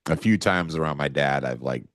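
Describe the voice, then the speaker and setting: A man with a low voice co-hosting a podcast, telling long rambling stories in casual chat, full of fillers. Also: fairly quick, fairly bright tone, no room sound. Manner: rambling storyteller, lots of fillers. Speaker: podcast co-host.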